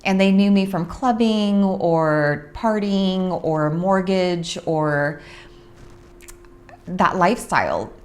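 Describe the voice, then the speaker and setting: A young adult woman from Orange County, California, hosting a casual monologue about her spiritual work, unhurried and reflective with frequent pauses. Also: low-pitched, darkish tone. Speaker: host, spiritual practitioner.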